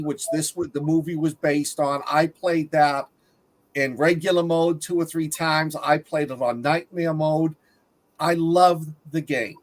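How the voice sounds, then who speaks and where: A man with a slightly high voice takes his turn on a film discussion panel. His delivery is casual and halting, as he stops partway through sentences to reach for the next word. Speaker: panelist on a movie review show.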